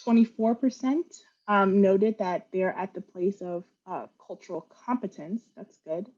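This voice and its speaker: A woman whose first language is Vietnamese presents a training webinar. She is earnest and sincere, pausing on fillers and restarting sentences. Her voice sits at a middle pitch, and the call audio sounds dull and muffled. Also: darkish tone.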